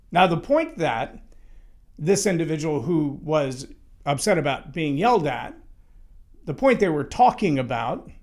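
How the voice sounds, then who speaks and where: Loud-voiced man talking about leadership. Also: a little high. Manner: conversational, emphatic, with frequent restarts. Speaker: leadership speaker. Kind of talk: solo talk on leadership.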